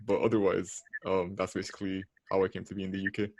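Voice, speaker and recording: Young man, low flat voice, hesitant casual talk, close dry recording. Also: fast, creaky, dry recording. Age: late teens to early 20s. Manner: hesitant, searching for words. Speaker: medical student panellist. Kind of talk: casual chat.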